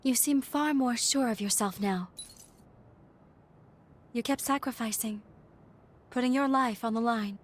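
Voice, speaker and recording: An actress playing a gentle young woman fighter in a game cutscene, sincere and heartfelt. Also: fairly high pitch, measured pace, pauses often, slight background noise, close to an everyday voice. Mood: earnest, tender. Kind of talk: dramatic game cutscene dialogue.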